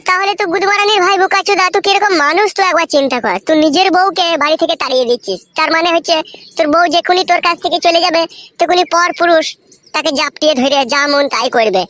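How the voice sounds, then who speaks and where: Man doing a squeaky, fast-scolding cartoon buddy. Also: pushed and bright, no room sound. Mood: exasperated. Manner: foul-mouthed, hectoring. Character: scolding, exasperated young male friend. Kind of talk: crude comedy cartoon dialogue.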